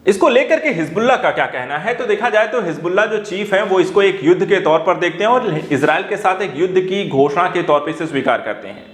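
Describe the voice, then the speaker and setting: High-pitched man lecturing, echoey room. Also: animated, fairly quick, few pauses. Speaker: teacher at an exam coaching academy. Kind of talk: explanatory current-affairs lecture.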